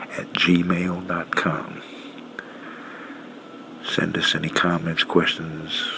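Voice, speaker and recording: A man hosting a radio show, talking casually in a halting, low-key, unscripted way. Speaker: host of an old-time radio show. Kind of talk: casual unscripted sign-off talk. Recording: clearly noisy home-made recording.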